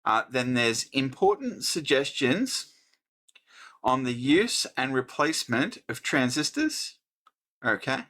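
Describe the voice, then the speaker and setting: A middle-aged man with an Australian accent talks through an old handbook in an unhurried, thinking-aloud way, pausing often and restarting his sentences. His voice is fairly bright with a forward edge, and his pace stays slow and relaxed. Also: mid-range voice. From New South Wales, Australia. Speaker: host. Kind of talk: casual hobby electronics book review.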